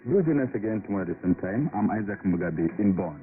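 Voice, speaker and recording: A man's voice: on the low side, animated, fast, over music. Male radio news presenter on muffled shortwave. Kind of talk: scripted news narration. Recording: muffled, narrow-band shortwave radio broadcast.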